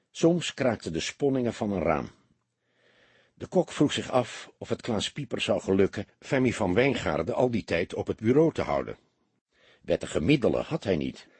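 Male narrator, for crime audiobook: lowish, raspy, animated, very dry close sound. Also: no room sound. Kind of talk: dramatic scripted narration of a detective novel.

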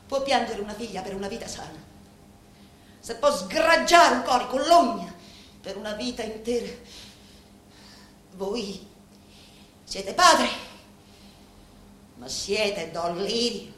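A woman's voice: mid-range voice, fairly bright tone. An actress playing a grieving, composed Sicilian woman pleading before a mob boss in a theatrical radio drama, with solemn, emphatic phrasing. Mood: solemn, firm. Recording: roomy echo with faint background noise.

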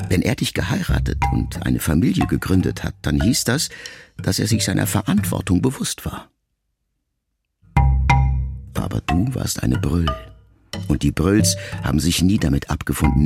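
An actor playing a middle-aged writer son who addresses his mother in a measured, introspective, quietly reproachful radio monologue. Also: deep voice, vocal fry, exaggerated voice. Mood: bitter reflection. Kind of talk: literary monologue in a radio play.

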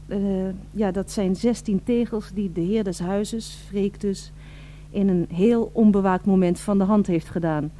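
A woman's voice: mid-range voice, fairly even intonation, a little slow, warm tone, a little hiss or hum, lightly acted. An actress playing an eager, polite female radio reporter in a radio drama, with formal, florid and emphatic delivery. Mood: confiding narration.

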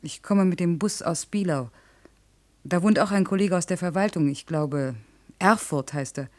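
A woman's voice: on the low side, moderate pace, darkish tone, close to an everyday voice. Blunt, guarded actress as stubborn working mother. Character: proud, stubborn working single mother, curt and defensive. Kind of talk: German radio play acting.

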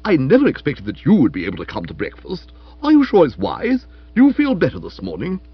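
Man putting on a high, hearty British aunt. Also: wildly swooping pitch, moderate pace, breathy edge, no room sound, muffled recording, heavily acted. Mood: hearty concern. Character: brisk, hearty, bossy upper-class aunt.

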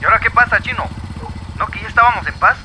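A man's voice: sits high, fast talker, noisy background, natural voice. A man playing an irritable, scheming village boss in a radio drama. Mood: irritated, scheming. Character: irritable, scheming village strongman plotting against opponents.

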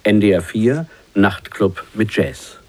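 A man with a fairly deep voice reads scripted programme announcements. His phrases are short and formal, pronounced in standard German. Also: takes it slowly, darkish tone. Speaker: station announcer.

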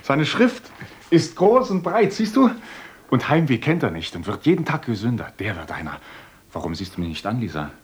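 An actor playing an earnest, idealistic young teacher in a radio play, speaking in an eager, coaxing way. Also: male voice, medium pitch, big pitch swings, normal speed, breathy edge.

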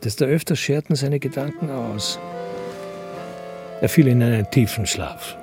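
An actor playing a composed narrator of a crime story, reading scripted narration in a radio drama. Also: male voice, medium pitch, measured pace, natural voice. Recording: music behind the voice.

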